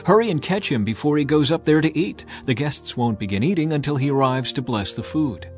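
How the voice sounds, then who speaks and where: A man's voice: a little high, animated, a little fast, darkish tone, faint music behind, close to an everyday voice. A man playing helpful, urging young village women in a dramatized scripture reading.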